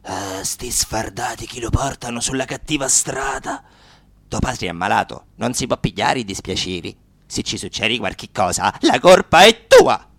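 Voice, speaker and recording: A plaintive, scolding Sicilian relative. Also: male voice, mid-range voice, big pitch swings, normal speed, gravelly, fairly bright tone, no room sound, exaggerated voice. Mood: plaintive reproach. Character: pitying, scolding older Sicilian relative lamenting a suffering father.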